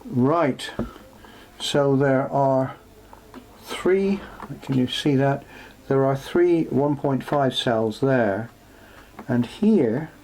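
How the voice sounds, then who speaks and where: Male workshop presenter, mid-pitched, unscripted and hesitant, thinking aloud over faint noise and hum. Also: very slow, darkish tone. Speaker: presenter showing vintage electronics for restoration. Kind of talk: unscripted workshop show-and-tell. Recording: clearly noisy, with background hum.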